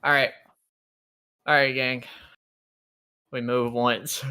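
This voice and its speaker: A tabletop roleplay player chatting casually and excitedly, repeating phrases and swearing freely. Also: medium pitch, slow pace, clean tone. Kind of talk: casual excited game chat.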